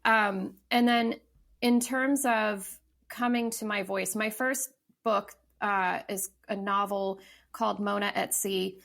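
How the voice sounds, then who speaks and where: A woman's voice: mid-range voice, steady tone, takes it slowly, creaky, a bit bright. A woman in her thirties, a novelist talking casually as a podcast guest, thoughtful, with many fillers and restarts. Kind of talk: casual interview talk.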